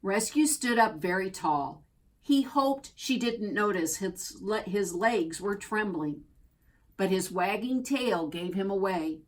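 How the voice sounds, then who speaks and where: A woman reading a children's picture book aloud as a storyteller, expressively and deliberately, drawing out key words. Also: medium pitch, animated. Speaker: storybook reader.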